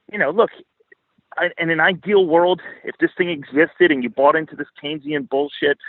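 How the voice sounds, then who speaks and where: Male podcast guest, slightly high-pitched, forceful and profane, arguing his views over a narrow phone-like connection. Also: dry recording. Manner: blunt, emphatic, profane. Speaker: podcast guest and financial market commentator. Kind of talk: heated opinionated interview commentary. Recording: band-limited phone-like line, dry.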